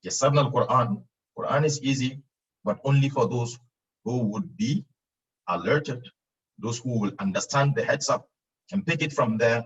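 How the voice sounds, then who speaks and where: Arabic grammar teacher lecturing online, slightly distant. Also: male voice, mid-range voice, fast, pauses often, highs cut off. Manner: explains patiently with frequent repeats and fillers, laughs at own jokes; acts out students' questions in a raised voice.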